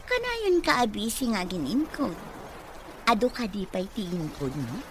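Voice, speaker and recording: A woman's voice: medium pitch, sing-song, measured pace. A woman acting a vain, dreamy, easily offended young office worker in a radio comedy drama, with an Ilocano accent. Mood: haughty. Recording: clear background noise.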